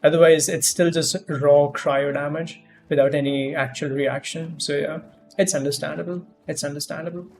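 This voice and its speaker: A man's voice: a little high, steady tone, normal speed, vocal fry, darkish tone. A man livestreaming a game, commenting casually and thinking aloud in a rambling way. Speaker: game streamer. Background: game music.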